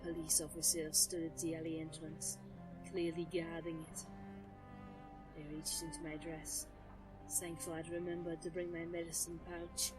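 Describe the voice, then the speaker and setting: A woman playing an ancient, brooding nature sorceress who narrates an audio drama in a solemn, measured, literary manner. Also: deep voice, monotone, natural voice, poor audio. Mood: solemn, reflective. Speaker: first-person narrator. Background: music.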